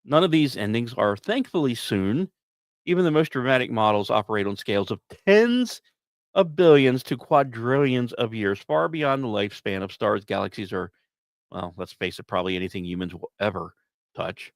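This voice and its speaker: A man's voice: mid-range voice, lively intonation, normal speed, vocal fry, no echo. A man hosting a podcast, narrating scripted science news with wry, self-deprecating asides and theatrical emphasis. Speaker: podcast host.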